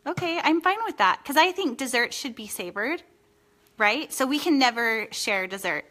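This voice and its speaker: A woman's voice: fairly high pitch, animated, normal speed, bright, forceful tone. A woman in her thirties co-hosting a live home cooking show, chatty and reading out viewer comments. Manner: bubbly, reads viewer comments aloud. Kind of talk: live-stream chat. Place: home kitchen.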